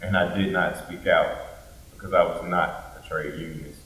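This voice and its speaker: A man recites scripted lines with solemn emphasis. The voice is low and sharp-edged, recorded at a distance in an echoing space. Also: fairly even intonation, moderate pace. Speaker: student performer in readers theater. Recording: echoey room, distant microphone.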